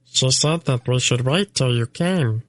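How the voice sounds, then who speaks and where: A man's voice: mid-range voice, measured pace, dry recording, pitched above the performer's own voice, natural voice. A man voicing a small furry male fenling creature with a piping, chittering voice and formal, earnest speech. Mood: solemn. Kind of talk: audiobook character voice.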